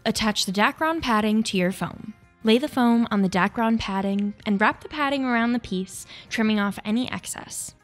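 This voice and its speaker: Higher-voiced young woman narrating a tutorial. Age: young adult. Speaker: craft tutorial host. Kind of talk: scripted instructional narration. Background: background music.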